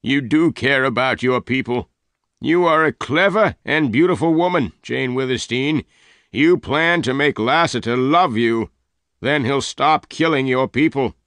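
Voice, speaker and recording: A man voicing a fat, gray-haired, angry male leader who talks loudly and demandingly. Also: medium pitch, unhurried, no room sound, pitched above the performer's own voice. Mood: sly. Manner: loud, demanding, questioning.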